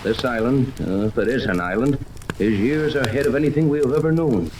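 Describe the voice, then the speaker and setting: An actor playing a commanding, level-headed yacht captain in a 1930s radio serial, speaking with deliberate authority. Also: male voice, mid-range voice, natural voice. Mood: grave, deliberate. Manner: authoritative, deliberate, gives orders. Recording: noisy 1930s radio, rain ambience over it.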